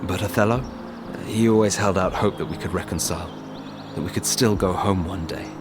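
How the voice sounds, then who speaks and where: An actor playing a battered, loyal, reflective immortal rebel in an audio drama, speaking earnestly and intimately. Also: male voice, sits low, a little fast, natural voice. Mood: somber, reflective. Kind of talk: naturalistic audio drama acting. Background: music.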